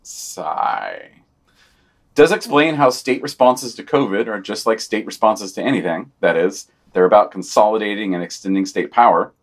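A man's voice: on the low side, lively intonation, normal speed. A man co-hosting a podcast, reading a scripted news digest with quotations and brief asides. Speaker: podcast co-host.

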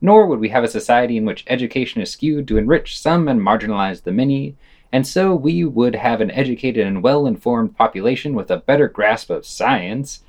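A man's voice: mid-range voice, expressive, fairly quick, a little mellow, no echo, studio quality. A man reading scripted essays and news commentary as a podcast host.

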